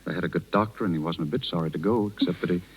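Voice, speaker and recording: An actor playing a kind, reserved gentleman with a hesitant manner in a 1930s radio drama. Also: sits low, slightly rough. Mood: somber, gentle. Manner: hesitant, halting. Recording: muffled 1930s radio broadcast recording.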